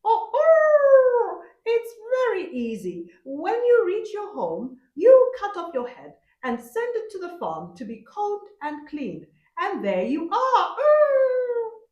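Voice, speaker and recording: A woman's voice: very high voice, wildly swooping pitch, takes it slowly, darkish tone, reverberant, highs cut off, voice pushed up, heavily acted. A woman voicing a cunning, breezily boastful male rooster in a sing-song, exclamatory way for a folktale. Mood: breezy, gleeful. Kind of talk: folktale storytelling.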